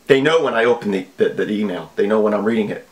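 A man's voice: fairly low pitch, fast. A man in his thirties giving casual, wry, mock-earnest comic commentary as a video host. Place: small home office, indoors.

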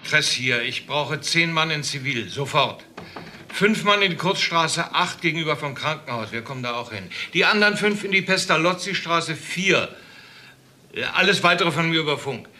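An actor playing a sober, authoritative police detective in a German crime radio drama, terse and matter-of-fact. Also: male voice, a little high, animated, normal speed, bright, forceful tone. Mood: commanding.

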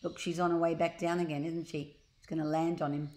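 A woman in her sixties reading a children's story aloud expressively and deliberately, with asides to young listeners. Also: low-pitched, level tone, dark tone, slight background noise. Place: large open living room, indoors. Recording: roomy, echoing living room.

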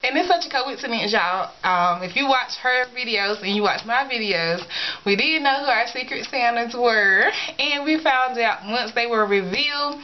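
A woman in her thirties who is sick, her voice a little raspy, chatting casually to camera as a vlogger, gushing and giggling and calling her viewers y'all. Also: on the high side, lively intonation, normal speed, muffled recording, a little hiss or hum. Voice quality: slightly raspy. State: sick, voice going. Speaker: beauty vlogger. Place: small indoor room in front of a folding screen.

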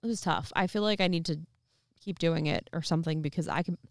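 A woman's voice: low voice, fast talker, dry recording, clean studio sound. A young woman co-hosting a podcast outdoors, chatting casually and rambling with lots of fillers into a handheld mic. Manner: rambling, filler-heavy storytelling. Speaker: podcast co-host. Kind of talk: casual chat. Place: outdoors by a fire pit.

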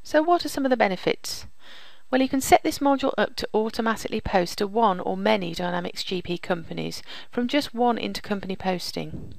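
A woman presents a software walkthrough in a strongly creaky voice at a middling pitch. She explains each step in an orderly, instructional way. The recording is dry and close, like a screen-capture voice-over. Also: brisk, no room sound, low-quality recording. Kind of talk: scripted software demo narration.

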